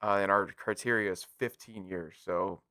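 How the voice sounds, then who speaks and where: A man's voice: sits low, steady tone, normal speed, sharp tone, clear, resonant tone, dry recording, clean studio sound. An American man co-hosting a casual gaming podcast, chatting informally and jokily and talking straight to listeners. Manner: jokey, informal, addresses listeners directly. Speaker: podcast co-host.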